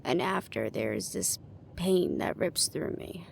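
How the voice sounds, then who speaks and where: A woman's voice: on the low side, lively intonation, takes it slowly, vocal fry, a little hiss or hum, full character voice. A woman playing a guarded, weary young team leader in a fantasy audio roleplay, with a dry, casual delivery. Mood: weary, confessional.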